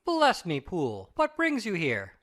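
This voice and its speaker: Gentleman lawyer acted by a man for audiobook drama: sober, good-natured, formal, animated intonation. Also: sits high, a little slow, heavily acted. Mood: concerned.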